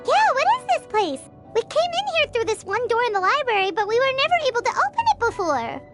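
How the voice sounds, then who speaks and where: A woman voicing a tiny, perky girl adventurer in a video game, with a squeaky childlike voice. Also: very animated, quick pace, loud music behind the voice. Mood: curious, eager. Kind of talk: scripted video game character acting.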